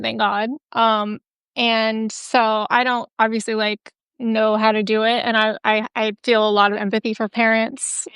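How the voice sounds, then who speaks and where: A woman's voice: a little high, fairly bright tone, clean tone, no room sound. A woman hosting a casual interview, talking candidly with lots of "like" fillers. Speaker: host.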